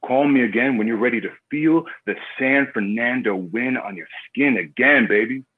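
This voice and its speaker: An actor playing a cocky, foul-mouthed crime boss with swaggering street talk in a screenplay table read. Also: male voice, medium pitch, moderate pace, narrow, tinny audio, natural voice. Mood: boastful, menacing.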